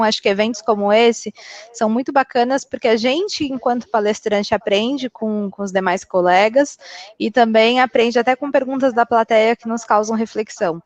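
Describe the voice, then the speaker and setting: Woman panelist on a muffled call. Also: on the high side. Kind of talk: closing remarks on a webinar panel.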